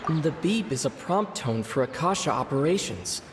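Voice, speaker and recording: Male game streamer, fairly high voice, slow halting read-aloud, echoey noisy recording. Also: lively intonation. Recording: echoing hall, clear background noise.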